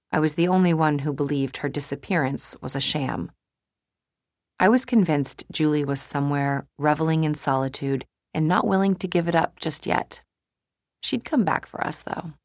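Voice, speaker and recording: A woman narrates first-person fiction in a low-pitched voice, recorded close and dry. She reads from a script as an audiobook narrator. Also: a little mellow, no echo.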